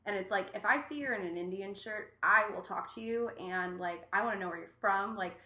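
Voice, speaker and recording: Chatty bright woman from Cleveland. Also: mid-range voice, fairly quick. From Cleveland, Ohio. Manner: chatty, many likes, animated. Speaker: bartender guest. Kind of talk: casual podcast chat. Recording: roomy echo.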